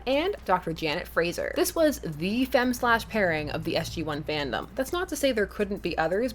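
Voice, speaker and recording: Emphatic woman reviewing fan fiction. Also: mid-range voice. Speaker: host of a fan fiction recommendation show. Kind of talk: enthusiastic scripted vlog review.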